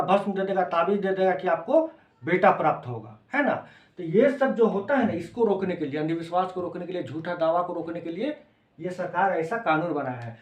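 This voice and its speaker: Bihari guy teaching an exam class, pretty high voice, repeats stuff and checks you get it, roomy echo. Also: darkish tone, highs cut off. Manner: repeats phrases and checks understanding with short tags. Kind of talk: informal explanatory classroom lecture in simple words. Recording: echoey, reverberant room.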